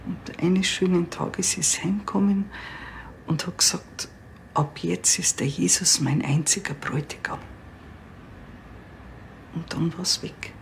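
Weary mother, TV crime drama: low register, resigned, matter-of-fact, slight background noise. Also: measured pace, vocal fry, long pauses. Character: resigned, apologetic middle-aged mother and wife.

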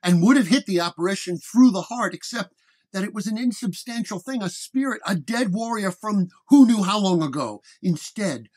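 A man's voice: high-pitched, animated, moderate pace, a little mellow. A man narrating a first-person detective horror story in a dramatic storytelling manner. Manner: storytelling, acting out dialogue.

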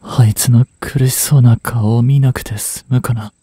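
A man in his twenties playing a reluctant, guilt-ridden young hitman in an audio drama, softly spoken and halting. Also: mid-range voice, steady tone, slightly rough, no room sound, close to an everyday voice. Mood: brooding, sorrowful. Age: 20s. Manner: halting, subdued delivery. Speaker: voice actor. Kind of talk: Japanese audio drama monologue and dialogue.

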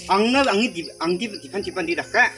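A man's voice: high voice, animated, a little fast. A young man talking animatedly in an acted comedy skit, recorded outdoors by a camera a few steps away. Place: outdoors in a village yard. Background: background music.

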